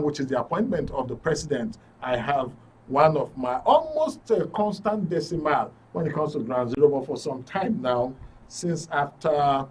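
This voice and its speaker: A man with a Nigerian accent presenting a live current affairs talk show in an informal, jocular way. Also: medium pitch. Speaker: host of a current affairs radio and TV programme. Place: broadcast studio. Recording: noisy studio, distant mic.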